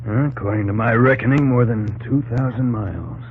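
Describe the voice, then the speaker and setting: An actor playing a blunt, distrustful frontier expedition officer in an old-time radio drama. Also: male voice, medium pitch, fairly quick, a little hiss or hum, lightly acted. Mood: weary awe. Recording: tinny old radio recording, loud music behind.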